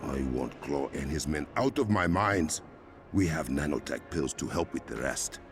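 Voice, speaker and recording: A man's voice: very deep voice, animated, moderate pace. An actor playing a grave, resolute young king with an African accent, speaking formally and with command in a dramatic video game. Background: cinematic game music.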